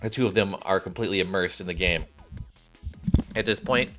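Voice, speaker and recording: A man's voice: low-pitched, a little fast, a bit bright, soft background music. A man streaming a video game and reading its story aloud, stumbling now and then and correcting himself. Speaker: streamer narrating game cutscenes.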